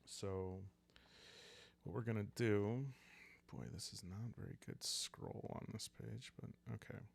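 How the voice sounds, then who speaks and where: Calm low-voiced man with gravelly creak. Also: unhurried. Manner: calm, hushed, musing aloud. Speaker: solo role-playing game host. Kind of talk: narrating a solo journaling game for relaxation.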